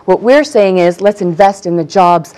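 Young woman candidate, fast emphatic debate talk, tv studio lapel mic. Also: mid-range voice, warm tone. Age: young adult. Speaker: political candidate. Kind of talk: debate argument. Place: television studio.

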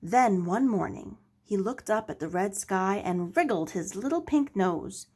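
Husky woman reading a storybook aloud. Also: mid-range voice, animated, unhurried, darkish tone. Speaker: storybook reader. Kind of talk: scripted children's story narration.

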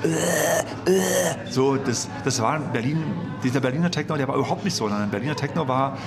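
A man giving a spontaneous interview recollection, in a halting, colloquial and blunt way. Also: medium pitch, creaky, crowd noise. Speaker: interviewee recalling the techno scene. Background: loud music.

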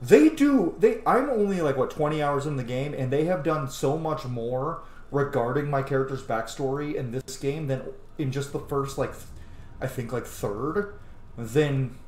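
A man's voice: medium pitch, lively intonation, normal speed. A man in his thirties giving casual gameplay commentary, speaking emphatically and swearing freely. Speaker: game streamer. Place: small indoor room at home. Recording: roomy sound with music behind. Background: game music.

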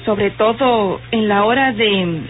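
A woman's voice: mid-range voice, slow, close to an everyday voice. An actress playing a pious, kindly married lady in a radio serial, speaking formally and consolingly. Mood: solemn, consoling. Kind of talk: old radio serial acting. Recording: muffled, hissy old radio drama recording.

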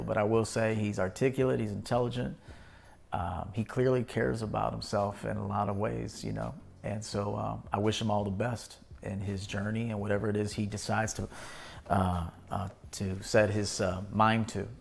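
A man's voice: lowish voice, level tone, moderate pace, vocal fry, a little hiss or hum. A man in his thirties hosting a live stream from a small indoor studio, casually reading and answering viewer comments. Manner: laid-back, shouting out viewers by name. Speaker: host.

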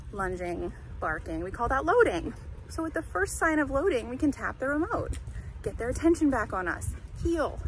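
A woman dog trainer explaining training methods in a clear, conversational way while walking a dog outdoors by a creek in a town center. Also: fairly high pitch, poor audio. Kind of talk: instructional walk-and-talk. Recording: outdoor street noise.

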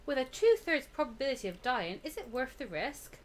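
A woman's voice: high-pitched, sing-song, fairly quick, a little hiss or hum. A woman voicing an anxious but determined ordinary student in a visual novel, reading in an earnest, dramatic way. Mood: earnest, resolute.

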